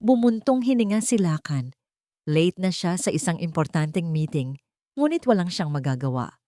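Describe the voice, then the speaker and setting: Low-voiced Filipino woman narrating a dramatic story. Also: expressive, moderate pace, dark tone, no echo, studio quality.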